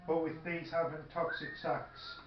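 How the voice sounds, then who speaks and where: Halting higher-voiced man in an echoey room. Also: flat delivery. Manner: halting, thinking aloud. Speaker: tabletop wargame player. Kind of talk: casual game-table chat, talking through rules. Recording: muffled, echoey room with faint noise.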